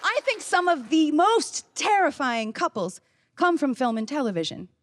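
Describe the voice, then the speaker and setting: A woman delivers a scripted comedy monologue in a very high voice. Her manner is tongue-in-cheek throughout. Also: sing-song, moderate pace, background noise.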